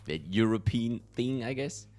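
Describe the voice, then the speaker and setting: A man's voice: medium pitch, animated, measured pace, background noise. A young man with a German accent, casually chatting and chuckling to himself while he plays a game and answers his chat. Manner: rambling, chuckling, self-talk while playing. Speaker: gaming streamer. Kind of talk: casual let's-play commentary, reading chat.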